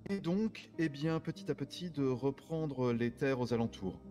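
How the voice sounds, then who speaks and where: A man playing a courteous private detective in online roleplay, explaining things formally and deliberately, with halting pauses. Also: medium pitch, warm tone, lightly acted. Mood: grave, measured. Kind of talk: improvised French online roleplay. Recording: background music under the voice.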